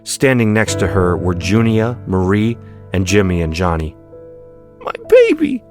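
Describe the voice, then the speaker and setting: Low-voiced man narrating dramatically. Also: normal speed. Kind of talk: dramatic scripted audiobook narration. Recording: music behind the voice.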